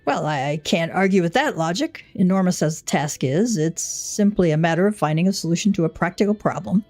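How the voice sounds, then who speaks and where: A woman's voice: fairly low pitch, animated, a little fast, a little mellow, soft background music. A woman voicing an anxious, devoted inventor husband in a video game, speaking in a formal, wordy, self-doubting way. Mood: hesitant, worried.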